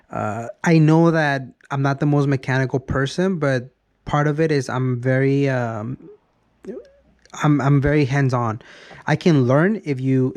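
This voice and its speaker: A man's voice: medium pitch, a little mellow, no room sound. Casual man in his thirties, dry close sound. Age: early 30s. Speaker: car vlogger. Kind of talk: casual, rambling vlog voice-over.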